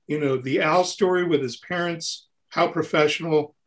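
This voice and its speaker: A man chatting casually as an interview guest, telling rambling, animated anecdotes in a talkative way. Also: a little high, normal speed. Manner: gabby, rambling, animated storyteller. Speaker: interview guest, touring keyboard player.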